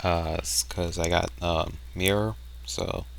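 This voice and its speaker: A man's voice: low voice, fairly even intonation, slow, vocal fry, slight background noise. A man chatting casually while gaming, with a playful, teasing, joking way of talking over online voice chat. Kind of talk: casual chat during online game play.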